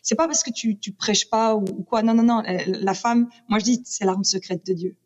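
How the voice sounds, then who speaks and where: A woman's voice: medium pitch, moderate pace. Passionate woman guest, lo-fi sound. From Canada, British Columbia. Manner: passionate, animated, restarts often. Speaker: guest speaker. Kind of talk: casual chat. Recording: dull lo-fi sound.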